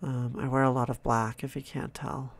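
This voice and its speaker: A man quietly narrating his own drawing process, in a soft-spoken, calm way, thinking out loud. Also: mid-range voice, normal speed, a little hiss or hum. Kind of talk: casual relaxed commentary over drawing.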